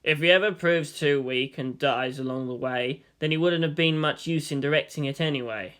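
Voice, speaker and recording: A narrator voicing a cold, calculating middle-aged male commander in a slow, deliberate, grave manner. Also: medium pitch, fairly bright tone, clean tone, close to an everyday voice.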